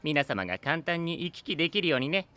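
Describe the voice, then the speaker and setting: Casting: courteous, sly young knight, piercingly bright and melodic, playful anime drama style. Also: male voice, a little high, sing-song, a little fast. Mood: polite, playful. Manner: polite, playful, breezy. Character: polite, sly, clingy young male knight.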